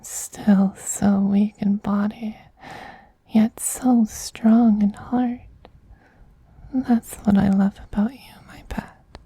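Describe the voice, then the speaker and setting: Man acting as male vampire queen, very dark soft tone, unhurried, doting, gently dominant, breathy whisper, ASMR roleplay. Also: high voice, little pitch movement, dry recording, heavily acted, poor audio. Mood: loving, soothing. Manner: slow, soothing, gently dominant, intimate.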